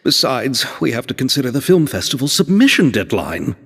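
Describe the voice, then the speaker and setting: A man's voice: medium pitch, sing-song, a little fast, fairly bright tone, breathy edge, heavily acted. A man voicing an eager, apologetic film director in a video game, with a polite, effusive and hesitant manner. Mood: flustered, apologetic.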